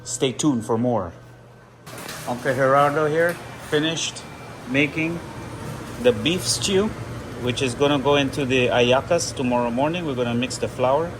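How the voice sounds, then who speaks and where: A man's voice: medium pitch, moderate pace, echoey room, background noise. A man with roots in Trinidad and Venezuela, chatting casually to his viewers while cooking in a home kitchen. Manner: chatty, addresses viewers directly. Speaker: vlogger.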